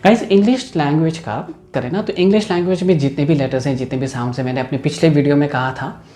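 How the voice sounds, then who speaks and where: A man's voice: fairly high pitch, fast talker, warm tone, echoey room. A man with an Indian accent, an English teacher giving a casual video lesson and mixing English into his talk. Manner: chatty, mixes English into the talk.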